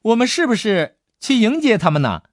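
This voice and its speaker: A man voicing an eager, excitable young boy adventurer in an audiobook, with a childlike manner. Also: sits high, moderate pace, dry recording, clean studio sound, voice pushed up. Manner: eager, bright-eyed, childlike. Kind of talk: audiobook character dialogue.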